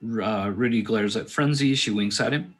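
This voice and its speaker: A man's voice: fairly low pitch, normal speed. A man directing a table read, reading stage directions aloud and adding casual asides.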